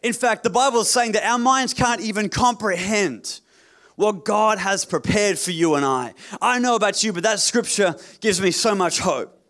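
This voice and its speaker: Fairly high-voiced preaching man. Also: moderate pace, a bit bright. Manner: repetitive, rhetorical, calls for amen; jokey asides. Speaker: pastor. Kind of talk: sermon.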